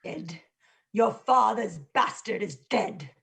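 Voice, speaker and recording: Woman as a creaky, sinister gloating mother. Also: medium pitch, animated, slow, a bit bright, heavily acted. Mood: cold satisfaction. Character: cold, gloating mother of a villain. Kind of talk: dramatized stage play reading.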